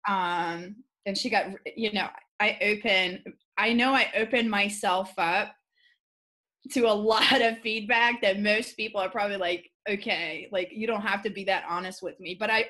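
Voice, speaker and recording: A woman hosting a podcast, chatting casually and telling things in an animated, candid way. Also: medium pitch, moderate pace. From Albania. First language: Albanian. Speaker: podcast host. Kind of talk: casual conversational interview.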